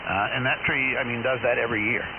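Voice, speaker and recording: A man from Maine chatting casually over shortwave radio, explaining things in a folksy, unhurried way. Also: mid-range voice, steady tone. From Maine, United States. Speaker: amateur radio operator, caller. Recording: hissy, noisy narrowband ham radio transmission.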